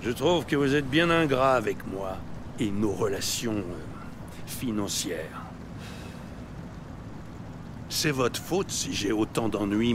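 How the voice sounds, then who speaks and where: An actor playing a menacing mob don in an audio series, formal, measured and coldly threatening. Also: male voice, lowish voice, background noise. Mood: aggrieved, cold. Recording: music behind the voice.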